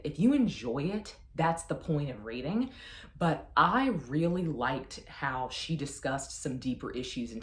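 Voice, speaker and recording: A woman chatting casually as a book reviewer, effusive and emphatic with a playfully self-deprecating manner. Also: low voice. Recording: roomy, echoey sound.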